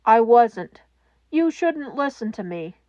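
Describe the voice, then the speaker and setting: A woman voicing a grown male hero with a rough voice, anguished and urgent. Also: fairly high pitch, sing-song, slow, a little mellow, dry recording, lo-fi sound.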